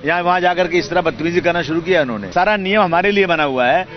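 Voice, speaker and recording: Male press soundbite, slightly high, repetitive and aggrieved, music underneath, muffled. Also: quick pace.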